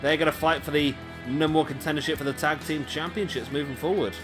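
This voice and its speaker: A man giving casual play-by-play commentary on a wrestling video game, chatty with rambling asides to viewers, his voice going a little hoarse. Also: a little high, steady tone, quick pace, over music. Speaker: gaming commentator. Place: home room, late at night.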